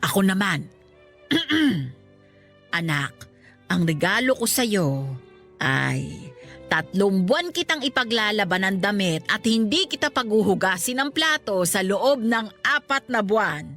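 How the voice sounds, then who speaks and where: Casting: thrifty, wry family mother for radio drama; expressive swooping pitch, music faintly behind. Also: a little slow, exaggerated voice. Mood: bantering, delighted. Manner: wry, bantering. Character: thrifty, bantering, affectionate wife and mother. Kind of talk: Tagalog radio drama acting.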